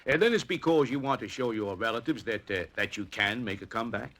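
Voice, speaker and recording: Bright, oily crooked boss. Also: male voice, medium pitch, fairly quick. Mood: oily, falsely kind. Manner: unctuous, hesitant, falsely kindly. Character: smooth-talking crooked male boss feigning benevolence. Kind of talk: 1940s radio drama acting.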